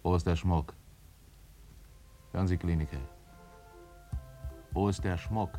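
A man's voice: sits low, fairly even intonation, slow pace, music in the background. An actor playing a cool, probing man who presses for answers in a controlled way, in a radio drama. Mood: tense, pressing. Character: cool, probing male interrogator. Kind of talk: German radio drama acting.

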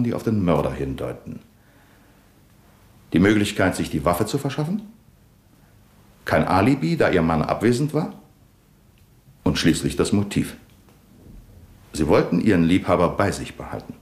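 An actor playing a shrewd, calm male police commissioner in a 1970s radio drama, with a Swiss German accent, speaking in a measured, courteous, probing way. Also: lowish voice, roomy sound. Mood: accusing.

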